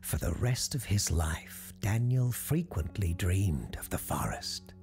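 A podcast host and storyteller giving dramatic, measured scripted narration, with a gravelly voice that drops into hushed tones. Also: sits low, animated, whispered, faint music behind. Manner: theatrical, measured.

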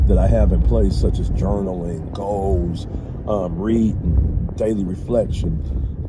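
Middle-aged man, very deep voice, earnest coaching talk, dashboard camera, loud road noise. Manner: earnest, coaching tone with frequent "right?" and "all right". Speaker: vlogger and small business owner. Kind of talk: casual motivational vlog talk. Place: inside a moving car. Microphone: camera mounted on dashboard. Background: car and road noise.

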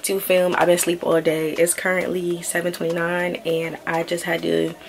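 A woman's voice: lowish voice, completely flat delivery, moderate pace, creaky. A woman in her early twenties telling a story casually and informally, sounding a little tired and nasal from a head cold. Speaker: vlog host. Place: small indoor room with clothing rack.

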